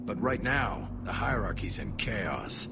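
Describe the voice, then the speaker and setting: An actor playing a respectful alien military general in a video game, speaking in a clipped, formal way. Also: male voice, fairly low pitch, animated, a little slow, very noisy. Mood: grave.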